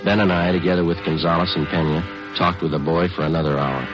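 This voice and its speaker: An actor playing a calm, patient police detective who narrates his case tersely and matter-of-factly in a 1950s radio police drama. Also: low voice, fast talker, lightly acted. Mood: dry narration. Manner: terse, deadpan, matter-of-fact. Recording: dull vintage radio recording with music.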